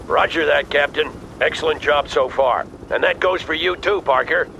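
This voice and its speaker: An actor playing a stern, resolute army colonel in a military video game, speaking in clipped, authoritative orders. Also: male voice, a little high, moderate pace, gravelly, bright, forceful tone, a little hiss or hum, poor audio. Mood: firm, approving. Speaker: military commander.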